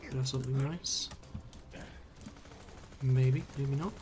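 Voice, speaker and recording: A man's voice: medium pitch, fairly even intonation, slow pace, noisy background. A man streaming a video game, chatting casually and rambling with many fillers and trailing-off sentences, his voice a little strained from talking so long. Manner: hesitant, filler-heavy, trails off mid-sentence. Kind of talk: casual rambling chat while gaming. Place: home room at a computer.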